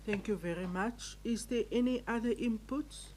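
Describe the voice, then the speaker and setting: A woman's voice: fairly high pitch, normal speed, a little mellow, slight background noise. A woman runs a council meeting in formal, courteous tones, calling on members by title. Faint chamber ambience sits behind her. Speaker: council speaker chairing the meeting.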